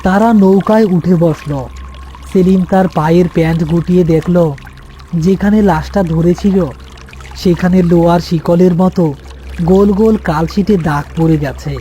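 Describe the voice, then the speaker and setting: A man's voice: high-pitched, a little slow, warm tone, slight background noise. A man narrating a scripted horror cartoon story.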